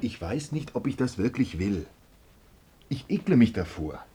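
A man playing a weary, principled actor in a radio drama, very husky and breathy, speaking heavily and reluctantly. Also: mid-range voice, sing-song, unhurried, background noise. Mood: despondent, disgusted. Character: weary, principled male actor, disgusted and despondent.

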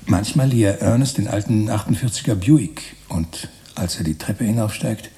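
An actor playing a wry, digressive narrator in a literary radio play, musing in a conversational, ironic way. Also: male voice, fairly low pitch, normal speed, warm tone, natural voice.

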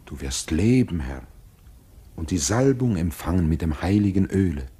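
Dark, husky court dignitary. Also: male voice, sits low, sing-song, moderate pace, exaggerated voice. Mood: solemn, reverent. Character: solemn, reverent court dignitary. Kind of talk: German radio play acting.

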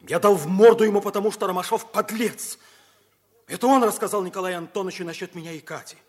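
An actor playing a proud, stubborn, hot-tempered teenage schoolboy, blunt and earnest, in mid-century radio play style. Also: male voice, high-pitched, fast talker. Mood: defiant, indignant. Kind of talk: mid-century Soviet stage acting for radio. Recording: old radio play, music behind.

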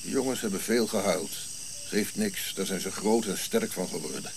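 An actor playing a sardonic, irritable old prince in a radio drama, speaking curtly with dry irony. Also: male voice, deep voice, moderate pace. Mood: irritated. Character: grumpy, sardonic old prince looking back on life. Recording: clear background hiss and insect-like night noise.

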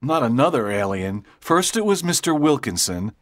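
A man playing an earnest, animated schoolboy. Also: medium pitch, normal speed, lightly acted. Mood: startled. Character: excitable, thoughtful schoolboy friend in a story. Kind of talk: dramatised audiobook dialogue.

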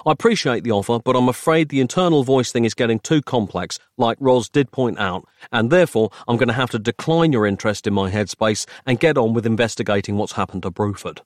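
A man's voice: medium pitch, fairly quick, few pauses, no room sound, clean studio sound, lightly acted. A man playing an exasperated, argumentative comic hero in an audiobook, emphatic and querulous. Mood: exasperated, insistent.

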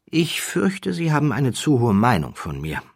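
A reserved gentleman count in an audiobook, acted out by a male narrator. He is polite and formal, modest to the last, and his voice is husky and closely, dryly recorded. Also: medium pitch, wildly swooping pitch, normal speed, no echo, clean studio sound, pitched above the performer's own voice, exaggerated voice. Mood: modest, reluctant. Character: modest, reserved aristocratic gentleman card player, a feigned count. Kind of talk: audiobook character dialogue.

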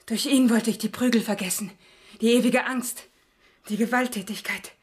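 Woman acting deranged female killer, radio drama, high voice, mocking, possessive, sweetly menacing. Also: moderate pace, darkish tone, lightly acted. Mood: wounded, yearning. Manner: taunting, dramatic, shifting from sweet to venomous. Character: unhinged murderess, possessive, mocking, chillingly sweet about killing.

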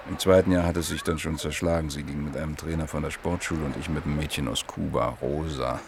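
A man's voice: rumbling low, fairly even intonation, fast, noisy background. An actor playing a married economist in his mid-thirties, wry and evasive, speaking in clipped phrases in a radio play. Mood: matter-of-fact, guarded. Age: middle-aged.

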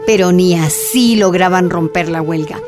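A woman's voice: fairly low pitch, normal speed, over music, close to an everyday voice. A woman narrating a scripted radio drama as an earnest storyteller.

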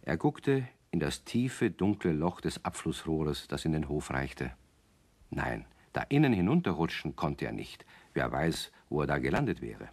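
A man narrating a children's radio play as an avuncular, gently wry storyteller who addresses his young listeners directly. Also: very deep voice, big pitch swings, measured pace, slightly rough, full character voice.

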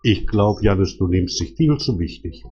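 Older man, mellow husky voice, muffled audio. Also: low-pitched, lively intonation, normal speed. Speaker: local political party member. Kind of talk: argument on a voice chat. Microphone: online voice chat recording. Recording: dull, muffled voice-chat audio.

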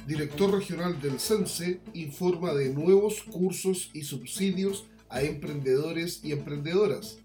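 A man presents scripted news in a slightly high voice. The sound is noticeably roomy, with music underneath. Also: a little slow, hardly any pauses. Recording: echoey voice over music bed.

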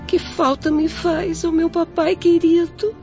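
A woman's voice: very high voice, little pitch movement, unhurried. A woman playing a timid, suffering young daughter, plaintive and sorrowful, in a melodramatic radio play. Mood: sorrowful longing. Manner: tearful, pleading, plaintive. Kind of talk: melodramatic radio drama acting. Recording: lo-fi with loud music behind.

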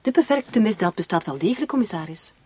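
An actress playing a bitter, defiant young woman in a radio play, speaking curtly and sarcastically with a husky voice. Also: mid-range voice, lively intonation, quick pace, mellow. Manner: curt, sarcastic.

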